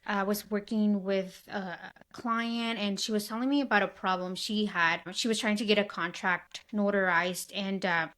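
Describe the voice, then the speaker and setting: A woman's voice: medium pitch, steady tone, moderate pace, few pauses, a bit bright. A woman hosting a podcast, talking in a loose conversational way with frequent restarts. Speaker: podcast host. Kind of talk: casual interview chat.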